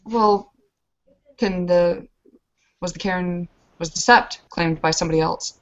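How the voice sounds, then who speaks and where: A woman talks through a roleplaying game in a casual, conversational way. Her voice sits a little low, and the recording is slightly dull. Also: a little slow. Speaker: player in a tabletop roleplaying game. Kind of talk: casual game chat, describing her character's actions.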